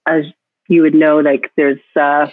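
A woman's voice: low voice, level tone, a little slow, no echo. A woman photographer answering interview questions thoughtfully, often restarting her sentences as she thinks aloud. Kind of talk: reflective interview talk. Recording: tinny phone line, dry.

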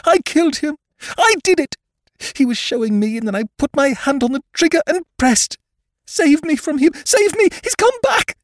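A man's voice: very high-pitched, big pitch swings, normal speed, dry recording, studio quality, pitched above the performer's own voice. A man voicing a terrified, hysterical widow in a mystery audiobook, gasping and frantic as she speaks. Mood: hysterical confession. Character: terrified, hysterical widowed woman with a guilty secret. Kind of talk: dramatised audiobook reading of a mystery story.

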